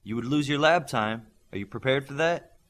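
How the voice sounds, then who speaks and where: A man's voice: mid-range voice, moderate pace, a bit bright, pitched above the performer's own voice, lightly acted. A man reading an audiobook as a stern male combat coach, firm, blunt and demanding. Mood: skeptical, stern. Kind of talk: audiobook character dialogue.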